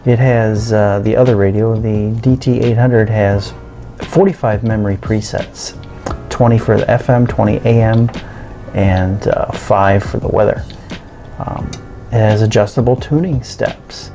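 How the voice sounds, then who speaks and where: A man giving a casual, unscripted product review as a hobbyist, hesitant and halting as he thinks aloud. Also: fairly low pitch, fairly even intonation, dark tone, soft background music.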